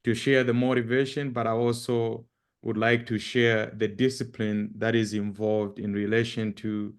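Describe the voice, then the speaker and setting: Male guest speaker, Zambian accent. Deep-ish, creaky voice, halting informal presentation style. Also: little pitch movement. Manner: halting, with repeated words and frequent pauses. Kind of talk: informal presentation with personal introduction.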